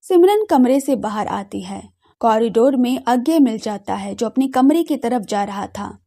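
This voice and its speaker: A woman narrator reading a scripted audio drama. Her voice is fairly high with a mellow, soft tone. She tells the story expressively. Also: expressive, measured pace.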